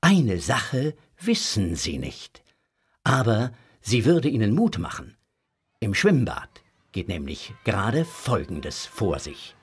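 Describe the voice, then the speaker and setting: A man narrating a children's audio drama with a husky voice and dramatic, suspenseful delivery. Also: on the low side, very animated, slow pace, full character voice. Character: unhurried storyteller narrating a children's adventure.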